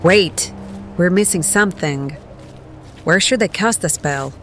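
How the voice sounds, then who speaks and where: A woman's voice: lowish voice, unhurried, a bit bright, soft background music. A woman acting in an audio drama as a practical young spellcaster among a band of survivors. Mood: businesslike.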